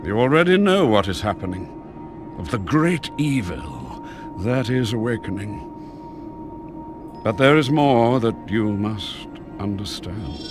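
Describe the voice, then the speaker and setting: Slow, solemn old dragon mentor. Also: male voice, fairly low pitch, very animated, music in the background. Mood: grave warning. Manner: solemn, cryptic, measured. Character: wise, calm old male dragon mentor.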